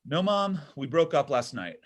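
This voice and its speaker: A man's voice: fairly high pitch, big pitch swings, normal speed. An actor reading the part of William, an anxious, awkward young man, at a table read of a comedy script. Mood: defensive. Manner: nervous, fidgety delivery with awkward laughs.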